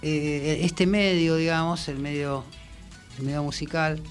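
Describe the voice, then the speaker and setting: A man's voice: a little high, steady tone, a little slow. A middle-aged man with an Argentine accent, a musician guest chatting casually and rambling a little. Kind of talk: casual chat. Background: faint music.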